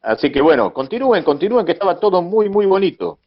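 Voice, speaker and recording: A man hosting a video call interview, greeting and chatting in a friendly, relaxed way. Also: sits high, fast. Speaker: dubbing school director, host. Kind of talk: casual interview chat. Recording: dry, dull video call audio.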